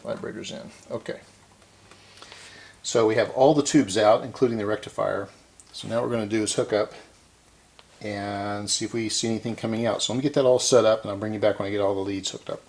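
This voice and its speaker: A man's voice: on the low side, fairly even intonation, a little fast, creaky, a little mellow. A middle-aged man hosting a casual hands-on repair demonstration, talking to himself unscripted as he works. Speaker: host of a vintage electronics repair channel. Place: indoor electronics workbench.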